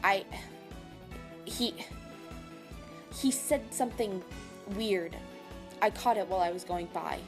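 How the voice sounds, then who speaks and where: A woman playing a brash, hot-tempered chef in an audio drama, gruff and sarcastic, cursing mildly in food words. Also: medium pitch, slow pace, long pauses. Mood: exasperated. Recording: music behind the voice.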